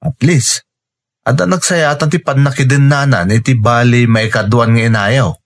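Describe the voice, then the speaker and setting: A man's voice: medium pitch, steady tone, moderate pace, lightly acted. A man playing a concerned, supportive friend in an Ilocano radio drama, conversational and advising, with an Ilocano accent. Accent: Filipino, Ilocano. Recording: music bed under the voice. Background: music.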